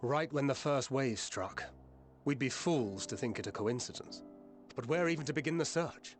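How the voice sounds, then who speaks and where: A man's voice: mid-range voice, animated, normal speed, soft background music, lightly acted. A man voicing a wry, pragmatic adventurer. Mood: wary.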